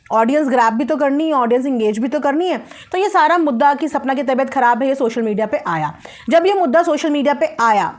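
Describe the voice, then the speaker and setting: A woman hosts a commentary video. She speaks emphatically and with firm opinions, often repeating a phrase for weight and dropping English words into her talk. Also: high voice. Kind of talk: opinionated commentary on social media family drama.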